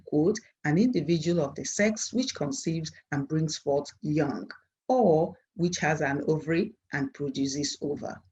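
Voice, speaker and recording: A middle-aged woman with a Nigerian accent and Igbo as her mother tongue reads a formal academic presentation in a measured, earnest way. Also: sits low, a little mellow, husky, rough recording. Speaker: university professor presenting at a conference. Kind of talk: formal scripted academic lecture.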